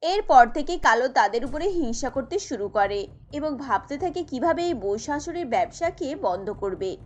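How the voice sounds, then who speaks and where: High-pitched woman narrating a story. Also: expressive, normal speed, darkish tone, slight background noise. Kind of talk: scripted cartoon story narration.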